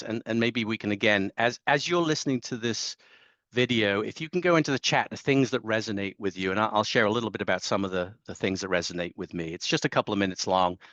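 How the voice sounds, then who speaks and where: Hesitant low-voiced man on webinar audio. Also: a bit bright, no room sound. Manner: hesitant, restarts often. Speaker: webinar presenter. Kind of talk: informal webinar introduction. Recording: dull, dry webinar audio.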